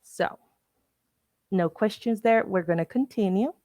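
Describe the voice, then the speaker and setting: A woman from Mexico with a Mexican accent, a native Spanish speaker, giving an informal educational presentation in a halting, explanatory way, searching for words. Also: medium pitch, mellow, dry recording, clean studio sound. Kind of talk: informal educational talk with slides.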